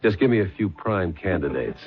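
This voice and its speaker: An actor playing a blunt, persistent police sergeant in an old radio mystery, questioning in a clipped, businesslike way. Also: male voice, low voice, very animated, brisk, soft background music. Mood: insistent. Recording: dull, muffled vintage radio broadcast.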